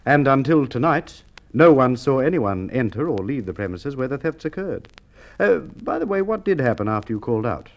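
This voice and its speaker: A dull, muffled vintage radio recording of a male police inspector. He is formal and shrewd, with speech that swings expressively in pitch as he probes deliberately. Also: big pitch swings. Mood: stern, probing. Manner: measured, deliberate questioning with hesitations. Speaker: radio drama actor. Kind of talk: Golden Age radio drama acting.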